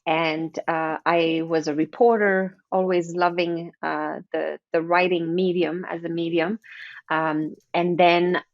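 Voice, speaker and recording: A woman's voice: on the low side, little pitch movement, slow pace, no echo. French-speaking woman chatting, dry and slightly dull sound. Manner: chatty, with frequent restarts and acted-out inner thoughts. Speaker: guest author. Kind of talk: casual interview chat.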